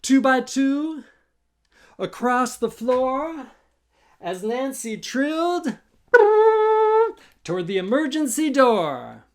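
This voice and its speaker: A man reading his own children's book aloud in a theatrical, rhythmic, deliberate way for young listeners. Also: extremely high pitch, sing-song, slight background noise. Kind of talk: animated musical storytime read-aloud with rhythmic scat.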